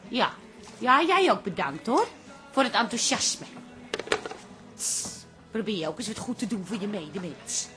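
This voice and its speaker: An actress playing a bossy, scheming, chatty café barkeeper in a radio drama, with brisk, wheedling banter. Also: female voice, mid-range voice, very animated, over music.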